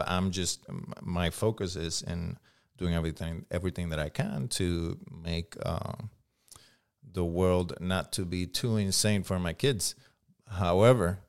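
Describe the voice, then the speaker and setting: This man in his forties has a Puerto Rican accent and a deep, smooth, resonant voice. He talks candidly and reflectively as an interview guest, with frequent restarts. He swears casually along the way. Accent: Puerto Rican Spanish. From Puerto Rico. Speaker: guest, firearms instructor.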